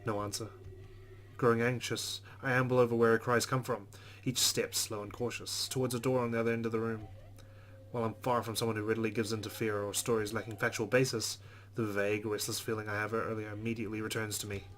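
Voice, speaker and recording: A man's voice: lowish voice, flat delivery, quick pace, a bit bright. A man reading a visual novel's first-person narration aloud as a let's player. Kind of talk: dramatic narration of on-screen game text. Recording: noticeable background noise.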